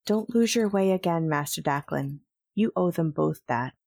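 A woman voicing a measured, grave female Jedi leader in an audio drama. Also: low voice, lively intonation, vocal fry, darkish tone, dry recording, clean studio sound, heavily acted.

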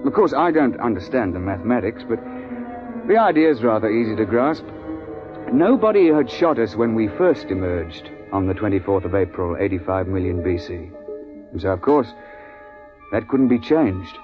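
A man's voice: on the low side, sing-song, normal speed, music in the background. An actor playing an urbane, unflappable British big-game guide who wryly tells his tale in a 1950s radio drama. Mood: explanatory. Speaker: lead actor and narrator. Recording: muffled 1950s radio recording.

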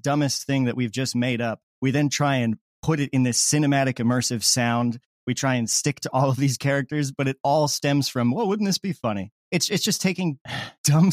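A man's voice: mid-range voice, no echo, studio quality. A man chatting casually in an interview as a show creator, explaining things in a self-deprecating way. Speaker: podcast creator being interviewed.

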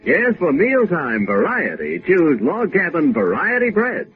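A man working as a radio announcer and sponsor pitchman, reading scripted copy in 1950s style. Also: big pitch swings, unhurried. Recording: tinny vintage radio, music behind.

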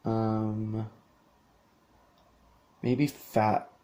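A man's voice: lowish voice, flat delivery, drawn-out words, warm tone. A man in his thirties talking on his own in a rambling solo monologue, with lots of fillers, restarts and casual swearing. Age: 30s. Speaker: podcast host.

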